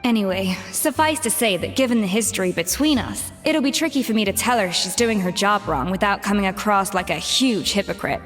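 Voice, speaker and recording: A woman's voice: mid-range voice, lively intonation, brisk, few pauses, fairly bright tone, lightly acted. A woman voicing a proud, exacting young head chef in a video game, speaking in a precise, commanding and theatrical way. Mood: troubled reflection. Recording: long reverb with music behind.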